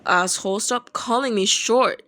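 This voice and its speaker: A woman's voice: on the high side, unhurried, pushed and bright. A woman playing a short, hot-tempered young male rock singer with a curt, grumbling, dry manner in an audio story. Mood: irritated.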